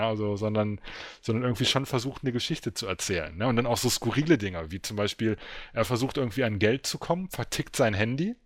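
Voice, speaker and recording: A man's voice: medium pitch, no room sound, studio quality. A man talking in a casual, colloquial and slangy way, like a podcast co-host recommending something.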